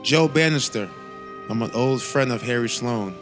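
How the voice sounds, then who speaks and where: A man's voice: medium pitch, moderate pace, fairly bright tone, faint music behind, lightly acted. A man playing a smug old family friend under suspicion in a comedic radio play, dry and insinuating. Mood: dry, needling.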